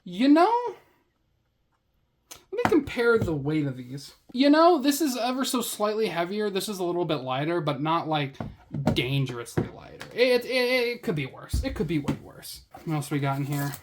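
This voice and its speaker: Wry woman with lively, swinging pitch. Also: normal speed, slight background noise. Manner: sarcastic, wry. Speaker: gadget reviewer. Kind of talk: casual unboxing commentary.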